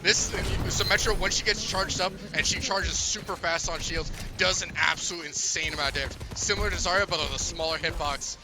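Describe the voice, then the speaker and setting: A man's voice: fairly high pitch, fairly even intonation, fairly quick, hardly any pauses, background noise. A man giving color commentary on a school esports match, breaking down strategy and joking as he goes. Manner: jokey, breaks down strategy with playful quips.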